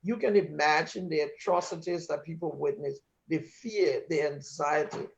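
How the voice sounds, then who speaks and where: A man's voice: a little high, moderate pace, gravelly. A man from Liberia with a North American accent, speaking earnestly and emphatically as a panelist in a discussion. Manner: earnest, emphatic, some restarts. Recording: dull lo-fi sound.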